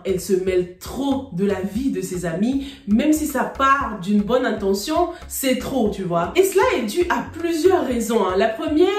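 A woman's voice: on the high side, echoey room. A woman in her thirties from Cameroon with a Cameroonian French accent, talking straight to her viewers in a chatty, emphatic review. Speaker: series reviewer. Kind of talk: casual video review. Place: small indoor room with bookshelves.

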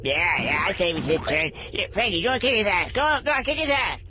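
A man's voice: sits high, expressive, fast, muffled recording, noisy background. A man voicing a sneering, dim paintbrush sidekick in a video game, goading and repeating himself. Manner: repetitive, goading, echoing his partner.

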